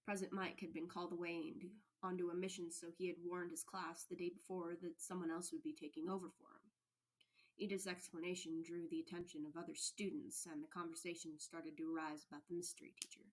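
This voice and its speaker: A woman narrates a story in a slightly low voice. Her reading is expressive, in the manner of an amateur audiobook. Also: fast talker, poor audio. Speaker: fan fiction podfic reader.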